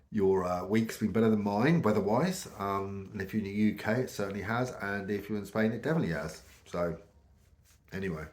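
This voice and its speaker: A middle-aged British man with a croaky voice from a cold, chatting casually to camera in a rambling way with many ums. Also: fairly low pitch. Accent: British English. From United Kingdom. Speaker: vlogger.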